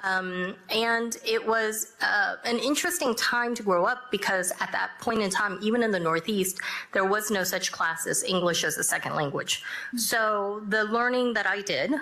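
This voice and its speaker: A middle-aged woman from South Korea, whose first language is Korean, speaking as a judge and panelist, telling her story in a measured, reflective way. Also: mid-range voice, pushed and bright. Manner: measured and reflective, with pauses and fillers.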